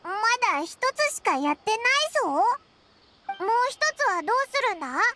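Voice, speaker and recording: A woman's voice: extremely high pitch, animated, quick pace, heavy background noise. A woman voicing a small, cheeky, childlike sprite in a Japanese game, with blunt boyish speech. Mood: excited, curious.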